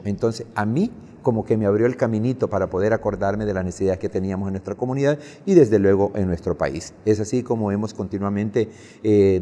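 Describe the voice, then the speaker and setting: A man's voice: fairly low pitch, mellow. A Salvadoran man in his fifties giving earnest answers as an interview guest. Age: 50s. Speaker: interview guest, head of a community charity. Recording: reverberant hall sound.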